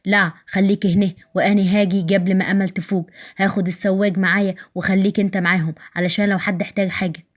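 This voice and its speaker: A woman's voice: mid-range voice, flat delivery, fast talker, close to an everyday voice. Woman reading a proud, curt young husband. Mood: firm. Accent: Egyptian. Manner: curt, firm. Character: proud, cold, protective young husband and brother.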